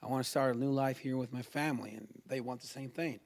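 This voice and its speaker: He is a man from Chile whose first language is Spanish, and his Chilean accent comes through. His voice sits at a middle pitch. He speaks like someone giving a personal testimony, informal and unscripted, stopping and starting as he goes. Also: fairly even intonation, vocal fry, darkish tone. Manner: halting, informal, peppers talk with "you know" and "man". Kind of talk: personal religious testimony, spontaneous storytelling.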